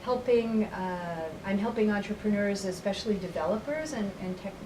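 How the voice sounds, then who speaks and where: A middle-aged woman answering interview questions thoughtfully, with many ums and slow, halting phrasing. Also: mid-range voice. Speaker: interviewee, company representative. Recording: roomy, distant mic, noisy ambience.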